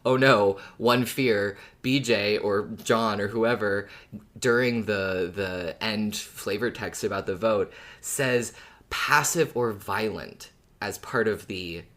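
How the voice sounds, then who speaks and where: Podcast co-host with a medium-pitched voice. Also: slow pace, fairly bright tone. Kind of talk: casual podcast discussion.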